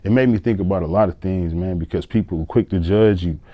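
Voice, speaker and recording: A man's voice: deep voice, fast, muffled recording. A man hosting a show, talking through a rambling motivational monologue and repeating "you know what I'm saying.". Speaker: show host reacting to a post. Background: music.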